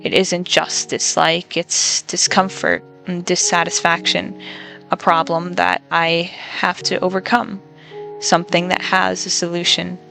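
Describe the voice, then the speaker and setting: A young woman speaks in a low, creaky voice with a flat, even delivery. She sounds reflective and measured, pausing often as she vents in a personal monologue. Faint music plays behind her. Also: rough recording. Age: young adult. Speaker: college student.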